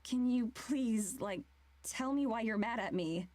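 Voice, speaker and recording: A woman's voice: on the high side, a little slow, darkish tone, no echo, close to an everyday voice. An actress playing a hurt, earnest young woman in a visual novel, pleading and confrontational. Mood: hurt, frustrated.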